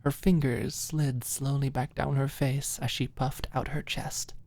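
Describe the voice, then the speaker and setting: A woman's voice: extremely low pitch, little pitch movement, unhurried, darkish tone. A woman reading scripted story narration as the narrator of an ASMR audiobook.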